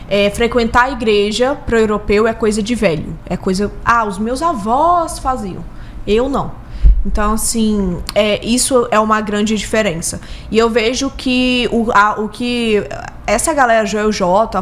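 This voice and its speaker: A Brazilian woman with a fairly high voice talks in a casual interview style. She is emphatic, doubling back on key words to drive them home. A little noise sits behind her. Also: fairly even intonation, moderate pace. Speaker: podcast guest, business consultant.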